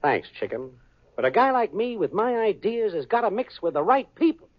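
An actor playing a cocky, smooth-talking young schemer in a 1940s radio sitcom, breezy and full of slang. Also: male voice, sits high, big pitch swings, moderate pace. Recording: lo-fi old radio broadcast with audience laughter and hiss.

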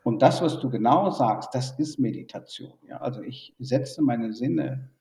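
Thoughtful gravelly man from Burma, roomy. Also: medium pitch, animated, a little slow, dark tone, recorded from a distance. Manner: thoughtful, hesitant with many fillers. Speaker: interview guest, engineer. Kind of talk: reflective conversational interview. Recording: echoey room, off-mic.

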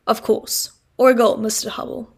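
A woman voicing a sanctimonious, theatrical church clerk who declaims in a deepened voice. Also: fairly even intonation, brisk, close to an everyday voice. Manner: declaiming, preachy, deep put-on voice.

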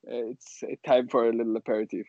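An Austrian-accented man, a native German speaker, chatting casually as an interview guest in a halting way over a dull remote connection. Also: medium pitch, level tone, a little mellow, no echo. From western Austria. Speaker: guest, sommelier and wine bar co-owner.

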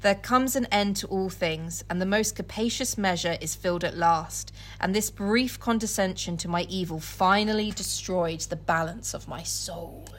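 A woman's voice: fairly low pitch, moderate pace, few pauses, a bit bright. Irreverent, giggly woman chatting and reading aloud. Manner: irreverent, giggly, swears freely. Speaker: podcast co-host. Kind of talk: casual comic chat with dramatic book readings.

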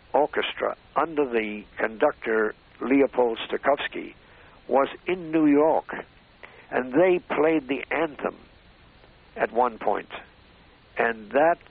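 A man reminiscing off the cuff in a hesitant, halting way, groping for words. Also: medium pitch, gravelly, husky. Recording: phone-like, band-limited radio sound.

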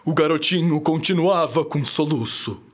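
A man's voice: fairly high pitch, moderate pace. A young Brazilian man narrating a scripted children's radio story in a dramatic storytelling style. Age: young adult. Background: music.

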